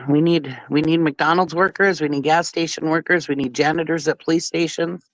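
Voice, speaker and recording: A woman's voice: sits low, fairly even intonation, moderate pace, no echo, rough recording. A woman with a stuffy nose from a cold, hosting a casual livestream and chatting bluntly with viewers, often laughing and swearing. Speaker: livestream commentary host.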